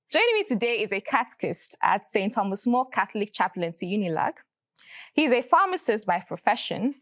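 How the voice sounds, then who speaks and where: Dry, lively woman host. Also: a little high, no room sound. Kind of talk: scripted show intro and interview.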